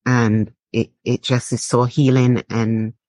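A middle-aged woman from Barbados with a Barbadian accent, reflecting on personal experience as an interview guest, often halting and repeating phrases. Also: a bass voice, flat delivery, warm tone, no room sound, studio quality. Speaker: interview guest, artist and writer.

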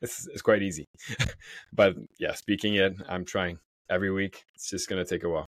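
A man's voice: low-pitched, steady tone, a little fast, no echo. A man hosting an interview podcast, chatty and asking leading questions in a friendly, casual way. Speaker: podcast host.

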